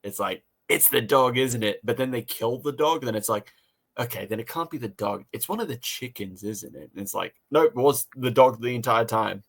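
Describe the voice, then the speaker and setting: A man's voice: medium pitch, moderate pace, creaky. A man co-hosting a casual review podcast, talking in a rambling way, repeating phrases and voicing his own thoughts.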